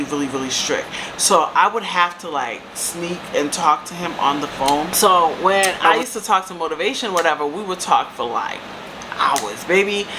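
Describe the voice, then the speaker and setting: A woman in her thirties with a deep voice, telling a casual storytime to her viewers and calling them baby and girl. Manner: chatty, calls viewers baby and girl, lively asides. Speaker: storytime vlogger. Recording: steady air-conditioner hum behind.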